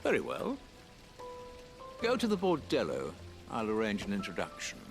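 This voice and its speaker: An actor voicing a shrewd, haughty lord in a fantasy game, formal, dry and commanding. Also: male voice, on the low side, wildly swooping pitch, normal speed, over music. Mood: brisk, obliging. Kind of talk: dramatic game cutscene acting.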